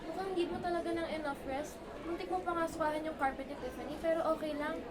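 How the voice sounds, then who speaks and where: A woman's voice: sits high, fairly even intonation, fast, far from the mic, heavy background noise, natural voice. A woman with a Filipino accent playing a catty, falsely friendly college girl in an amateur radio drama. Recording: loud music and crowd behind.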